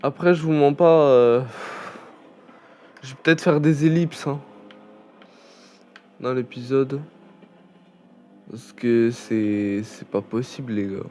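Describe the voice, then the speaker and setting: Slow, rambling young man chatting. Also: mid-range voice, fairly even intonation, darkish tone. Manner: rambling, chatty with many fillers and restarts. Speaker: gaming channel host. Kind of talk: casual gameplay commentary.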